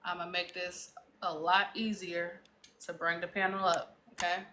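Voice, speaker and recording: A woman's voice: lowish voice, measured pace, a little hiss or hum. A woman hosting a live online panel, calling people up one by one and keeping things orderly. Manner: steady, organizing, calls on speakers. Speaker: host moderating a live panel. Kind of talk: casual live-stream moderation.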